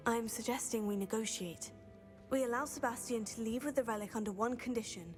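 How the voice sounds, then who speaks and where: An actress playing a calm, diplomatic young female student, speaking in a reasoned way. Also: a little high, brisk, faint music behind, lightly acted.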